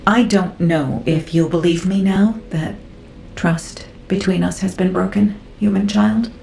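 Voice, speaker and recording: A woman playing a grave, guarded councilor in a video game cutscene, speaking in a measured, formal way. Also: on the low side, creaky, dark tone. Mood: grave, earnest. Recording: echoey, faint music behind.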